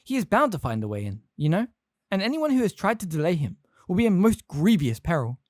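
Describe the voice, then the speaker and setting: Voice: medium pitch, very animated, brisk, a little mellow, no echo, clean studio sound, pitched above the performer's own voice, natural voice. A reader voicing a portly older male teacher who puffs and frets anxiously. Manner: puffing, anxious, pompous.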